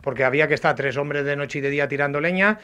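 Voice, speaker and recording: A man with a southeastern Spanish accent from Alicante explains informally and haltingly, repeating words and adding tag questions, slightly muffled by a face mask. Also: on the high side, bright, forceful tone, clear, resonant tone. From Agost, Alicante province, Spain. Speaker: artisan potter, interviewee. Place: indoors in old pottery workshop and brick kiln. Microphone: handheld reporter's microphone.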